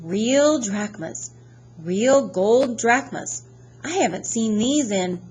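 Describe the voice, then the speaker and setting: Woman acting male underworld gatekeeper, British accent, smug, smooth, condescending, menacing, storybook character, noisy recording. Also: fairly high pitch, animated, darkish tone, pitched above the performer's own voice. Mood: greedy wonder. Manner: smooth, condescending, drawn-out delivery.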